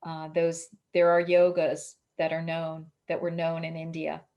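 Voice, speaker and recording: A woman's voice: low-pitched, monotone, measured pace, dull audio. A woman speaking as an interviewed author in a casual spiritual conversation, with an emphatic, declarative way of talking.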